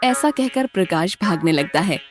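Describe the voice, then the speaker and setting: A woman's voice: lowish voice, animated, normal speed, soft background music. A woman reading scripted story narration as a storyteller.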